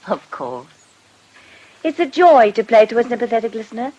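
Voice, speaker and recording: An actress playing a gentle, wistful, sympathetic woman in a radio drama, speaking earnestly with some hesitancy. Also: fairly high pitch, very animated, moderate pace, lo-fi sound. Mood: tender.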